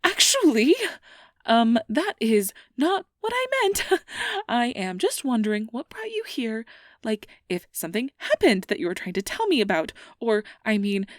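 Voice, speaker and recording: A woman playing a nervous, earnest young fantasy researcher in an ASMR roleplay, rambling and self-doubting, with soft breathy delivery. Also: a little high, big pitch swings, measured pace, dry recording, studio quality. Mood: flustered rambling. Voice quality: breathy, often dropping to a soft whisper. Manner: flustered, stammering, over-explaining, self-doubting.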